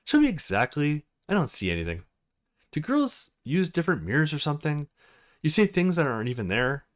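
Man voicing an easygoing, teasing young guy, expressive. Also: fairly high pitch, a little fast. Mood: puzzled.

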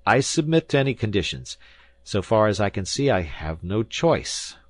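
A man's voice: lowish voice, very animated, slow pace, dry recording, exaggerated voice. A man voicing an earnest, hot-tempered visitor in an audiobook, protesting emphatically but respectfully. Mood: pleading protest. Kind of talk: audiobook character acting.

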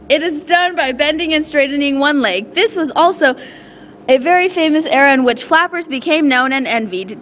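Girl reporter reading a script for a school radio project, pretty high voice, faint noise. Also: fairly bright tone.

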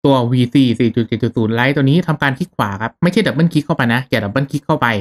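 Man, fast talker, mid-pitched voice, casual step-by-step tutorial, polite instructional delivery. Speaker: software tutorial host.

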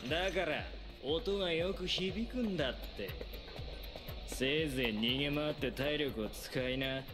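A man's voice: fairly high pitch, a little slow, lightly acted. A man voicing a sneering young anime street thug. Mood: menacing. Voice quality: rough, sneering delinquent speech. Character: cocky young male thug, cruel and taunting. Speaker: villain. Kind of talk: anime dub acting. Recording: voice over loud background music.

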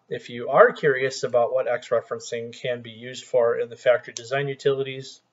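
A middle-aged man walks through a software tutorial from his desk. He talks into a headset mic in a relaxed, conversational tone at an ordinary pitch. The sound is a little dull and lo-fi. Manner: relaxed, conversational explaining with small restarts. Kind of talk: screen-recorded software tutorial.

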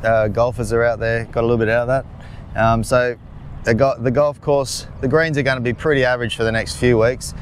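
Easygoing aussie interviewer outdoors. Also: male voice, medium pitch, flat delivery, fairly quick, a little hiss or hum. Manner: friendly, hesitant with "um"s. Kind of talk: casual interview questions. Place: outdoors on a golf course.

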